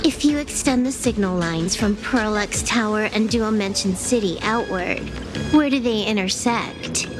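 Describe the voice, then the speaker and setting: A woman's voice: mid-range voice, animated, moderate pace, lightly acted. A woman voicing a cool, confident young hacker in a video game, nonchalant and dry in manner. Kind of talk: scripted video game voice acting. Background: loud game music.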